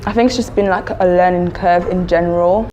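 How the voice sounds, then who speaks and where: A woman's voice: fairly low pitch, steady tone, brisk, darkish tone, lo-fi sound, slight background noise. A woman in her twenties from London answering interview questions thoughtfully, hedging with fillers. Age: 20s. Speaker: student interviewee.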